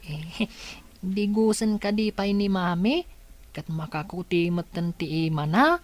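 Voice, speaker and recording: A woman's voice: lowish voice, expressive, unhurried. A woman playing a puzzled, questioning younger boarder in a radio drama. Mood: puzzled, wondering.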